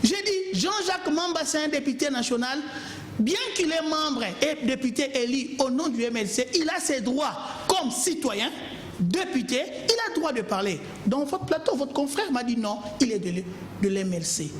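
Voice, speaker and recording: A man argues in a heated studio debate, emphatic and pleading by turns. His voice sits high and leaps all over its range. A roomy, echoing studio sound surrounds him. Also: lively intonation, normal speed, slight background noise. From Kongo Central, DR Congo. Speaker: TV debate panelist.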